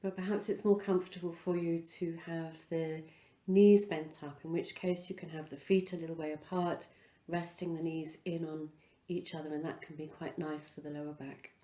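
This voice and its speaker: A woman leading a guided relaxation as a yoga instructor, speaking in an unhurried, soothing way with pauses between phrases. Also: low-pitched, flat delivery, warm tone, reverberant.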